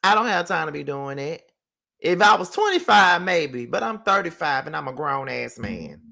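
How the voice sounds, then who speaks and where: A man's voice: fairly high pitch, very animated, moderate pace, vocal fry, bright, forceful tone. A man in his thirties giving blunt, profane reaction commentary, sounding exasperated. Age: 30s. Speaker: commentary host.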